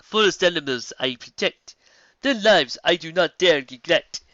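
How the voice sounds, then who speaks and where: A wise female zebra speaks in slow, formal rhymes, as a reader puts her on. Her voice sits a little higher than the narration and moves a lot. The recording is dry and a bit dull. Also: expressive, fairly bright tone, no room sound. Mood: solemn. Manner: rhyming, formal and grave.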